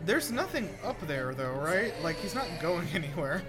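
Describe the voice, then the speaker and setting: A man's voice: a little high, sing-song, normal speed. A man co-hosting a movie podcast, giving casual, joking commentary as a film plays. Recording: film music playing loudly behind.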